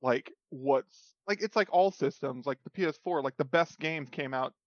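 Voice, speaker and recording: A man's voice: mid-range voice, normal speed, no echo, highs cut off. A man co-hosting a podcast in casual chat, teasing the others and laughing often. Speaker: podcast co-host.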